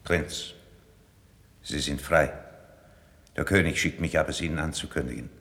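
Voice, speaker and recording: A man's voice: very deep voice, a little slow, fairly bright tone, heavily acted. An actor playing a formal, courteous envoy of the king in a 1950s German radio drama, speaking with ceremonious deference. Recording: long reverberant stone-hall echo.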